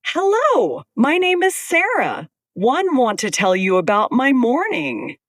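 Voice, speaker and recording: Slow woman reading a simple story. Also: high-pitched, wildly swooping pitch, no echo, studio quality. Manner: slow, plain, evenly paced sentences. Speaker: storyteller in a lesson.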